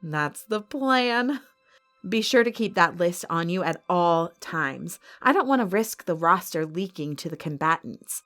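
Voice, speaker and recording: Cold, commanding villainess. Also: female voice, fairly low pitch, a bit bright. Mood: quietly smug. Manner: composed, dismissive, imperious. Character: cold, commanding female villain running a fantasy fight arena. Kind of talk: audio drama acting. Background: music.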